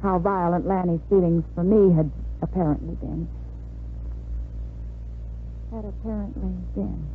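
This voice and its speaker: A woman's voice: on the low side, fairly even intonation, unhurried, pauses often, phone-quality sound, natural voice. Casting: woman to play a young bride-to-be in vintage radio drama, resigned and wry, heard through a thin, noisy old recording. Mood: wry, flat resignation. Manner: wry, world-weary. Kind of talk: 1950s radio drama acting.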